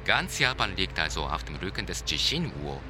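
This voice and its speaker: A solemn Japanese scientist in a radio drama, very low in pitch with lively rises and falls. He lectures formally and precisely, and music plays clearly behind him. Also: normal speed. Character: male Japanese seismologist, precise, solemn expert being interviewed. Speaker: seismologist interviewee. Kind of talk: expert interview in a radio play.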